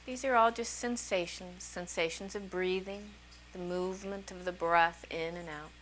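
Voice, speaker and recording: A woman's voice: lowish voice, steady tone, fairly bright tone, rough recording. Woman guiding meditation over background noise. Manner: soothing, unhurried phrases with long pauses. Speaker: meditation teacher. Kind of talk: guided meditation, slow calming instruction.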